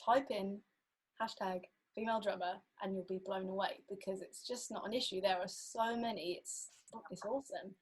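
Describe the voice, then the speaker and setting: A woman's voice: medium pitch, steady tone, normal speed, slightly rough, low-quality recording. Rambling woman drummer on a podcast. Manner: rambling, thinks aloud with many fillers. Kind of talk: casual podcast chat.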